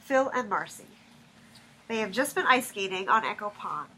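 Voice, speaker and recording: A woman's voice: mid-range voice, expressive, normal speed, pushed and bright, poor audio. A woman reading out stage directions as scripted narration for a live play reading. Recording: live room with faint noise.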